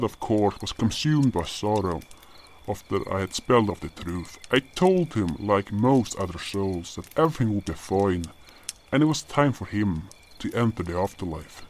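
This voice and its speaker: A man playing an old, gentle spirit guardian, earnestly telling his tales in an audio drama, in a measured, solemn way. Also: lowish voice. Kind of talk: audio drama first-person narration and dialogue. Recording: noisy track with cricket ambience. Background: crickets and outdoor night ambience.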